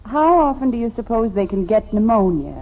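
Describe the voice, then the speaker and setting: An actress playing a sensible middle-aged American housewife and mother, wry and gently needling, in 1950s radio sitcom style. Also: a little high, lively intonation, brisk, lightly acted. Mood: dry, skeptical. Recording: noisy, dull old radio recording.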